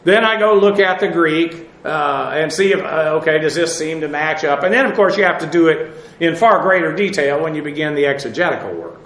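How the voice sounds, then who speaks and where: A man with a notably high voice teaches through a sermon verse by verse, pausing often on fillers and restarting his phrases. He is recorded in a reverberant church hall. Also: fairly bright tone. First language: English. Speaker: pastor. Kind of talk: expository sermon. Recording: echoey room.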